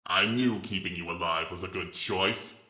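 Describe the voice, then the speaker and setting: A man's voice: sits low, steady tone, moderate pace, bright, forceful tone. A man playing a massive reptilian space pirate commander in a sci-fi dramatic reading, mockingly courteous and grandiose in a drawling manner. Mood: amused. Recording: echoing, reverberant voice track.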